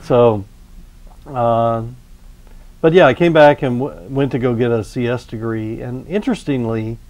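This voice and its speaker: A man's voice: mid-range voice, unhurried, darkish tone. A man in his fifties from Houston, telling his life story as a guest speaker with easygoing, wry humor. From Houston, Texas. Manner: easygoing and wry, dry self-deprecating humor. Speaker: guest game developer. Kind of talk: relaxed personal storytelling. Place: university lecture room.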